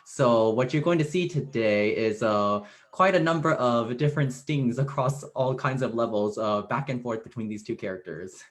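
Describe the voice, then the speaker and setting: A man giving an informal curtain speech as director, halting and playfully wordy. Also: mid-range voice. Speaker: theater director.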